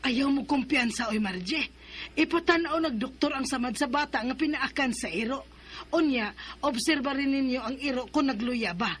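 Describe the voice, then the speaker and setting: An actress playing a blunt, scolding neighbor in a radio drama. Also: female voice, sits high, normal speed, background noise, natural voice. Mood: worried. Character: sharp-tongued woman confronting a neighbor.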